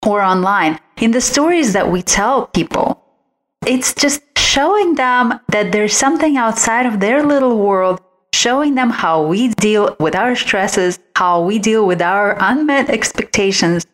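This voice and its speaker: A woman talks as a guest expert in an interview. Her voice is of average pitch for a woman. She explains her advice conversationally, as someone answering a host. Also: lively intonation, a little slow. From originally from outside the United States. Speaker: guest, visual branding expert.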